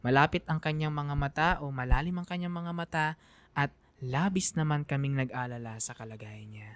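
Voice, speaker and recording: A woman's voice: sits low, fairly quick, clean tone. A woman with a Filipino accent giving an emotive, reflective scripted narration for a radio drama.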